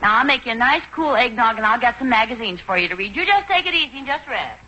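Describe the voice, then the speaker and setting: Doting, bright-voiced housewife. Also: female voice, on the high side, expressive, quick pace. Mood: solicitous. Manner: solicitous, coaxing. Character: doting housewife, fussing, practical and soothing. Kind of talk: 1940s radio sitcom acting. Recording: dull, noisy 1940s radio recording.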